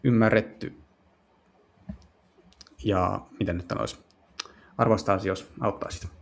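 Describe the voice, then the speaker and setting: A man playing through a visual novel, translating it aloud in casual speech and often halting to search for words. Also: lowish voice, steady tone, vocal fry. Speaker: game streamer. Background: game music.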